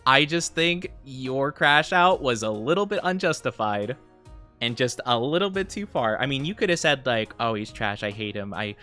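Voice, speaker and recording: Male streamer, medium voice, teasing and mock-outraged with chat, slightly worn-out voice, soft music bed. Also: medium pitch, lively intonation, moderate pace. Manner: playful and mock-offended, bursts of laughter. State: losing his voice. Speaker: VTuber streamer. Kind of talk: casual live-stream chat with viewers.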